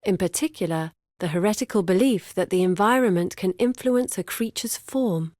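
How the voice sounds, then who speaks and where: Actress as young female scholar, fantasy game dialogue, articulate, measured, earnest, mid-pitched, close dry recording. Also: darkish tone, dry recording, natural voice. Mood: earnest, wistful. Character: scholarly, driven young woman researcher and map-maker.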